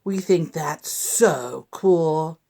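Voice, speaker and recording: A woman reading a picture book aloud to young children in an expressive, deliberate, teacherly way. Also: sits low, gravelly. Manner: deliberate, animated reading with sound effects and asides to listeners.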